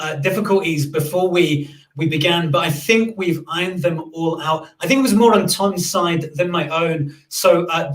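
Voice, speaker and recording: A man hosts a live-streamed interview in a loose, chatty way, full of "uh"s and restarts. His voice sits high for a man. The room around him rings with echo. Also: fairly bright tone. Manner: chatty, many fillers and restarts. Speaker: podcast host.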